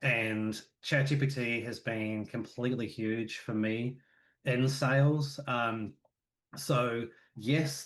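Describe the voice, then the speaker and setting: A man from New Zealand with a New Zealand accent, chatting casually as a podcast guest, relaxed and full of fillers. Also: mid-range voice. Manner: relaxed, filler-heavy, with quick asides.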